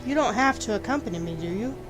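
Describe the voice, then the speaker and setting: A woman voicing a terse, composed young female elf warrior in a fantasy game, homemade recording under loud music. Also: on the high side, animated, fast, lightly acted. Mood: composed, curious. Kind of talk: fan voice-over for a game protagonist.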